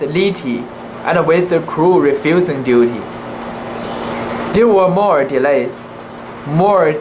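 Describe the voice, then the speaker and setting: An amateur reader giving a dramatic reading of a classic sea story, in a halting, deliberate way with occasional stumbles over words. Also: mid-range voice. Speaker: amateur reader making videos. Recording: noisy room with steady background hum.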